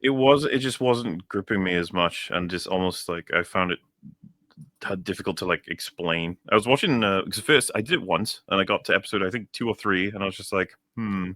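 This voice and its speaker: Deep, bright-voiced man rambling on a podcast. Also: fairly quick, no echo, clean studio sound. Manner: rambling, hesitant phrasing with repeated restarts. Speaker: podcast co-host. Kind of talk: casual chat.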